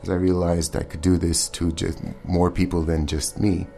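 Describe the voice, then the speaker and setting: A very deep male voice answers questions as a studio guest on a radio show. He is earnest and hesitant, filling his pauses with ums. Soft music hums faintly in the background. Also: level tone, creaky. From born in Armenia, raised in Montreal. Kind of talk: casual interview answers. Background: faint ambient music.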